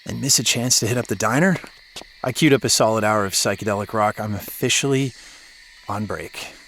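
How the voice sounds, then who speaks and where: A man's voice: lowish voice, fairly quick, a bit bright, a little hiss or hum. A man playing a laid-back, sarcastic, worn-out radio DJ who teases his way through an audio drama scene. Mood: teasing, casual. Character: scruffy, sardonic broken-down male radio DJ. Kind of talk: naturalistic audio drama dialogue.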